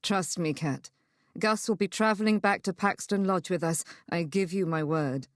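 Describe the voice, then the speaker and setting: A woman voicing a gentle, resolute gentleman in a Regency romance audiobook, soft-spoken and measured. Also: on the low side, no room sound, studio quality, close to an everyday voice. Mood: gentle, reassuring.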